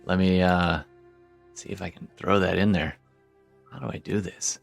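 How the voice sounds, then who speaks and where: A man's voice: sits low, fairly even intonation, normal speed, gravelly, no room sound, soft background music. A man chatting informally as game master of an online tabletop game, often muttering to himself as he works. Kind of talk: casual chat while running an online tabletop game.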